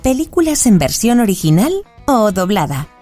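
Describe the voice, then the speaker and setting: Formal female quiz-booth machine, played by a woman, lively pitch, announcing prompts. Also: normal speed, faint music behind, close to an everyday voice. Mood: formal prompting. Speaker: quiz host voice.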